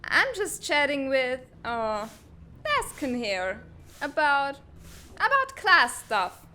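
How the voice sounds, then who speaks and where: A woman voicing a brash, hot-tempered teenage girl in a comic dub, blustery, bossy and quick to get defensive. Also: high-pitched, wildly swooping pitch, sharp tone, full character voice. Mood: flustered. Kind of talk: animated comic-dub voice acting.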